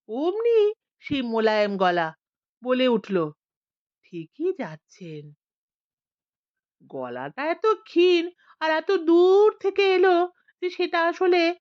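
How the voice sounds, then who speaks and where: A woman narrating a comic spooky story from a script in an expressive, dramatic, unhurried way. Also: medium pitch, no echo, muffled recording.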